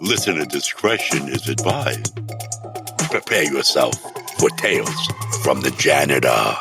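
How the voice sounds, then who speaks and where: An extremely deep-voiced, sinister announcer acted by a man opens a horror anthology. He speaks in an ominous, deliberate way over clear background music. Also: big pitch swings, full character voice. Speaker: show announcer. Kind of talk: scripted horror anthology introduction.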